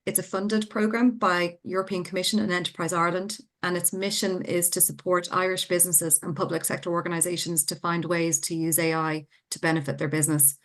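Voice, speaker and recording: A woman's voice: lowish voice, level tone, a little fast, few pauses. A woman co-hosting a webinar, introducing herself and her program, mostly fluent with a few restarts. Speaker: co-host, program manager.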